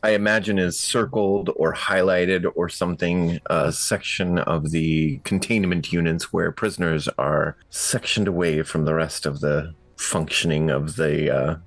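A man playing a tabletop game, describing actions casually in a deliberate, halting way. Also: deep voice, fairly even intonation, dry recording.

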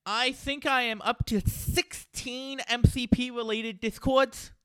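A man's voice: very high-pitched, fairly even intonation, takes it slowly, fairly bright tone, clean tone, dry recording, rough recording. A man hosting a podcast, talking in a chatty, informal, rambling way. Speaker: podcast host. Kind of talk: casual podcast chat.

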